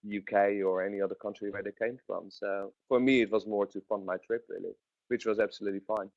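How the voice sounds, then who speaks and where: Guy in his 30s rambling on a webinar, lowish voice, dull dry audio. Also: level tone, fast, vocal fry, darkish tone, dry recording. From Netherlands. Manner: rambling, many fillers and restarts. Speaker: guest. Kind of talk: webinar interview.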